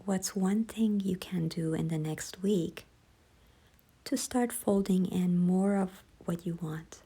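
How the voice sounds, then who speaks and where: A woman leading a guided visualization in a soft, hushed, breathy voice, gentle, unhurried and soothing. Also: lowish voice. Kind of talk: slow guided meditation narration.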